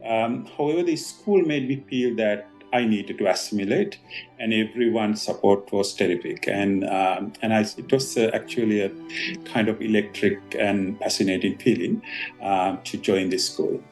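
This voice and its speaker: A Sri Lankan man in his fifties, a doctor answering podcast interview questions with many pauses and fillers. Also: fairly low pitch, darkish tone, soft background music. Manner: hesitant, many ums and uhs. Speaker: guest doctor.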